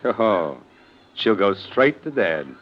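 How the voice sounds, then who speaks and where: An actor playing a breezy, lovestruck young heir with a defiant streak, in 1940s radio drama style. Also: male voice, on the low side, sing-song, slow, full character voice. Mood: resigned. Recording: muffled noisy old radio recording.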